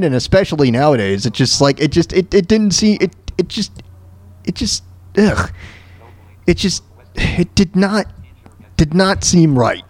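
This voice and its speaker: High-pitched, halting American man, animated talk host. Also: dry recording. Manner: halting, stammering, repeats words and phrases. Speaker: solo host of a talk show. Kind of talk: casual, rambling news and sports commentary.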